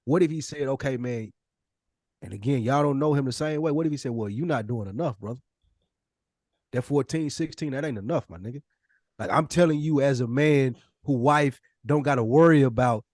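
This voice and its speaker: A man's voice: mid-range voice, a little mellow, dry recording, studio quality. An American man hosting a casual discussion, informal and probing in the way he puts points across. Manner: probing, informal, poses hypotheticals. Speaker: podcast host.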